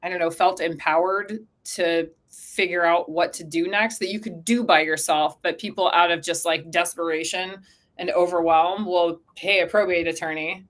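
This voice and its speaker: A woman's voice: on the low side, moderate pace. Casual, conversational woman explaining things. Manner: animated quoting of imagined speakers, frequent "like". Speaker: interview guest, company co-founder.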